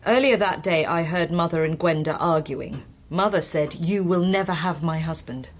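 An actress plays an anxious wife shielding her husband in a radio drama. Her fairly low voice is guarded and defensive, with faint noise behind it. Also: moderate pace, close to an everyday voice.

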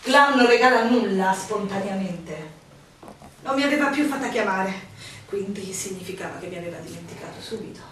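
An actress playing a melancholy innkeeper's wife, no longer young, formal and emotional, in a stage-like radio drama. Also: female voice, medium pitch, lively intonation, normal speed, a bit bright, natural voice. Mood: wistful, confessional. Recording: echoey room, distant mic, faint noise.

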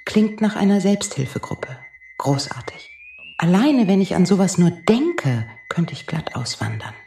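With a fry-edged, lively voice in an echoey room, a sharp-tongued woman space traveler mocks as played by an actress. She sounds seductive and often trails into whispery tones in this radio play. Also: lowish voice, measured pace, a little hiss or hum. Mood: mocking. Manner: mocking, breaks into whispery tones. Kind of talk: scripted audio drama acting.

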